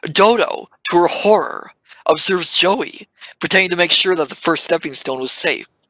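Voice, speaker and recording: A man's voice: high-pitched, moderate pace, pushed and bright, no echo. A man reading scripted narration for an audio drama.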